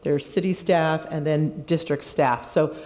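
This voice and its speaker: A woman's voice: sits low, fairly even intonation, unhurried, darkish tone. A woman on a city recreation staff giving a matter-of-fact update at a public meeting. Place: community hall meeting room. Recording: long reverb of a meeting hall.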